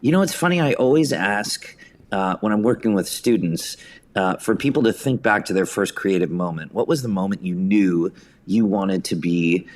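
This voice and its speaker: Low-voiced American man telling wry stories. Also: moderate pace. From suburban New York. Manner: wry, self-deprecating humor. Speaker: podcast guest, theater director and writer. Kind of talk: casual anecdotal storytelling.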